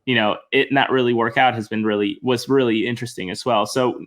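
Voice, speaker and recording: A man who covers a soccer team, guesting on a casual podcast, relaxed and thinking aloud as he corrects himself. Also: medium pitch, fairly quick.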